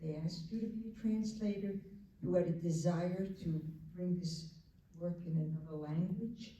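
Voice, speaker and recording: A woman's voice: lowish voice, moderate pace, dark tone, slight background noise. A woman in the audience asking a rambling, informal question. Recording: very echoey hall, distant mic.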